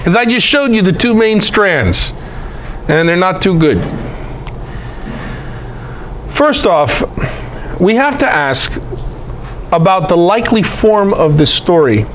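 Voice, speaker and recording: A man's voice: sits high, big pitch swings, measured pace, a bit bright, slight background noise. A man giving a conversational Bible lecture to a group, emphatic, posing rhetorical questions.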